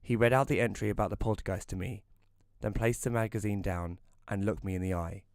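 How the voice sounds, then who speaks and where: Man playing a wry, lowish-voiced young columnist. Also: steady tone, fairly quick, darkish tone, dry recording, clean studio sound, close to an everyday voice. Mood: wry narration. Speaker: narrator and lead character. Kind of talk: first-person audio drama narration and dialogue.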